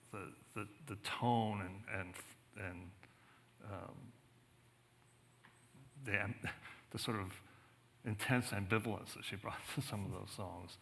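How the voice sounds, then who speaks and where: A man's voice: fairly low pitch, sharp tone. A man speaking as an author at a book talk, conversational and thoughtful, often searching for his words. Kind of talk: conversational panel discussion. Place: museum theater, on stage.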